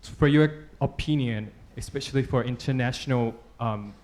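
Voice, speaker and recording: A man from southern Thailand with a Thai accent asks a long, halting question at a press club panel, with many fillers and apologies. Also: medium pitch, a little mellow. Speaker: audience questioner.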